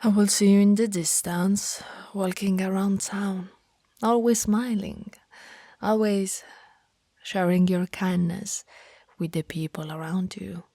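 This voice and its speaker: A woman voicing a tormented, lovesick, centuries-old female vampire in a slow whispered ASMR roleplay, with theatrical, formal old-fashioned phrasing. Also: mid-range voice, steady tone, dark tone, dry recording, studio quality. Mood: tender, adoring. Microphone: close mic, dry.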